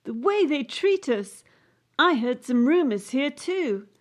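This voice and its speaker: A woman's voice: high-pitched, sing-song, takes it slowly, exaggerated voice. A woman playing a distressed, idealistic young gymnast in a radio play, with an anxious, aggrieved and brooding manner. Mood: anxious, weary.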